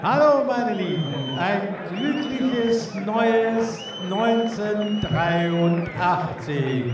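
A man's voice: high-pitched, animated, very slow, background noise, full character voice. An actor playing a drag queen addressing a crowd from the stage in a radio drama, grand and theatrical. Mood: grand, festive. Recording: echoey, distant stage sound. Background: music and a crowd.

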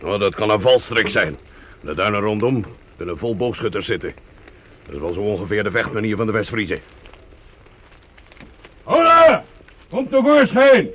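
A man's voice: lowish voice, wildly swooping pitch, moderate pace, pauses often, fairly bright tone, a little hiss or hum. An actor playing a domineering medieval knight who barks orders and scorns his servant in a youth radio drama. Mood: commanding.

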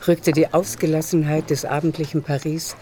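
Mellow low-voiced woman narrator. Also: little pitch movement, normal speed, slight background noise. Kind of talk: scripted literary narration for radio drama.

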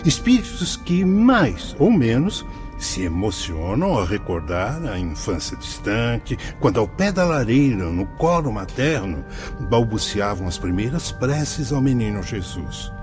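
Kindly spirit elder, solemn, declamatory, widely swooping intonation, radio drama, music underneath. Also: male voice, mid-range voice, very animated. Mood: tender, fervent. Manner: measured, paternal, preacherly phrasing. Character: kindly solemn spirit mentor, brother Anselmo, paternal guide. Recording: music behind the voice.

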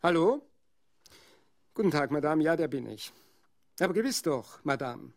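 Casting: a self-important author for radio drama, fairly high voice, very lively intonation, florid courtesy. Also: male voice, moderate pace. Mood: courteous, emphatic. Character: effusively polite, self-important male playwright. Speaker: author character. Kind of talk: German radio play acting.

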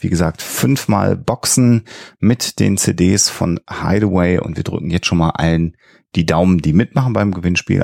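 A man speaks as a podcast co-host in a very deep register with plenty of vocal fry. His delivery is informal and directed at the listeners. The studio sound is very dry. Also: darkish tone, dry recording. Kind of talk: casual podcast chat with listener announcements. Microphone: headset.

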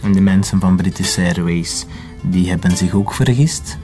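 An actor playing a menacing militant in an audio drama, taunting and deliberate. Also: male voice, sits low, mellow, soft background music, lightly acted. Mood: quietly menacing. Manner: taunting, deliberate, switching between Dutch and Spanish. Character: menacing Basque militant, interrogating man.

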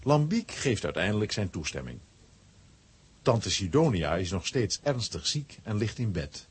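Schorre, levendige mannelijke verteller. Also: male voice, lowish voice, breathy edge. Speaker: narrator. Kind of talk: scripted storytelling narration for children.

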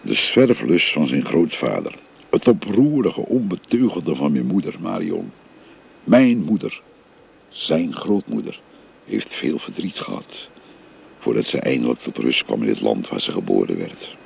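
A gravelly, very deep-voiced old father. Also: sing-song, measured pace, darkish tone, heavily acted. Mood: gentle, confiding. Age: older. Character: older father telling his family history. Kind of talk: radio drama acting. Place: recorded on location.

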